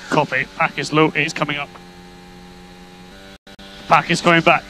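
A man's voice: on the high side, flat delivery, normal speed, pushed and bright, soft background music. A man working as a race engineer, giving terse, repeated instructions over motor racing team radio. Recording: noisy team radio with electrical hum.

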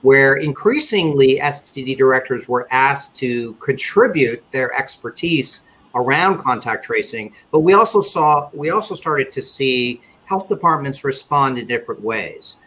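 A middle-aged man giving a webinar presentation on a video call, speaking in a measured way with frequent fillers and restarts. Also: mid-range voice, lively intonation, a bit bright, breathy edge, low-quality recording. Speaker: conference panelist presenting survey results. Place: indoor office or home room.

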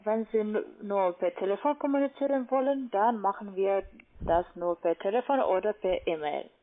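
Casting: woman, non-native with foreign accent, halting phone sales agent, thin telephone sound. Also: medium pitch. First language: not German. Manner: halting, searching for words. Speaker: call-center investment agent. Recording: tinny narrow phone line.

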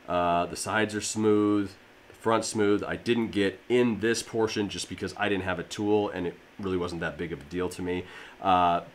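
A man in his thirties with a slightly raspy voice, casually explaining a DIY project to camera in his home garage gym, with plenty of fillers. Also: on the low side, a bit bright, slight background noise. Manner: rambling, filler-heavy, friendly explainer. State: allergies. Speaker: fitness DIY channel host. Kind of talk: casual how-to talk to camera.

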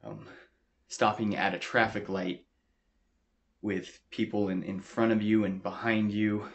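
A halting, troubled young American veteran. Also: male voice, on the low side, steady tone. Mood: uneasy, halting. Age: young adult. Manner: halting, subdued. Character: traumatized young male war veteran, anxious and deferential. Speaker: actor as patient. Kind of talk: acted therapy interview. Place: small furnished room, indoors.

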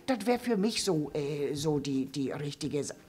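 A woman's voice: low voice, lively intonation, measured pace, warm tone, pitched below the performer's own voice. A woman voicing a gossipy old female puppet who rambles, stammers and restarts her sentences. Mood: rambling. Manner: stammering, full of fillers and restarts. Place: dark theatre stage.

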